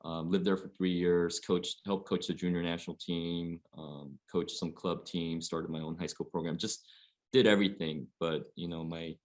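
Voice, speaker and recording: A man in his forties from Oregon, a high school basketball coach, telling his story at length on a webinar panel, with many fillers. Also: steady tone, moderate pace, a little mellow. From Oregon, USA. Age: 40s.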